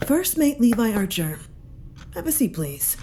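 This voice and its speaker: A woman's voice: on the high side, big pitch swings, measured pace, noisy background. A woman playing a polite, dry, methodical detective chief inspector in a science fiction audio drama. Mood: formal.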